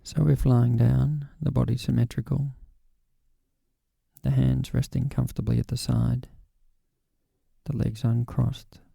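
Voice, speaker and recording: A man guiding a body scan meditation in a calm, gentle, unhurried way with long pauses. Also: mid-range voice, vocal fry, husky, no room sound, studio quality. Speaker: meditation guide.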